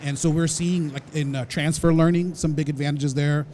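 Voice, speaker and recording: A man's voice: a little high, fairly even intonation, normal speed, dark tone, a little hiss or hum. A man answering a moderator's question on a conference panel, fluent and technical with a few uhs.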